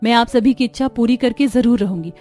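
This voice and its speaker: A woman's voice: on the high side, brisk, dark tone, faint music behind, close to an everyday voice. A woman playing a caring, determined young daughter-in-law in a cartoon story, speaking in an earnest, reassuring way.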